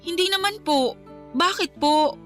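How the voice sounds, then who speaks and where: A woman's voice: extremely high pitch, measured pace, loud music behind the voice. A woman playing a meek, wronged young niece, polite and hesitant, in a radio drama. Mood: meek, reserved. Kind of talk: Filipino radio drama acting.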